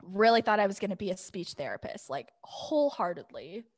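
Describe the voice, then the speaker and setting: A woman's voice: medium pitch, expressive, brisk, dry recording, studio quality. A young adult woman speaking as a podcast guest, telling personal stories in a chatty, informal and long-winded way. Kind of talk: casual conversational personal storytelling.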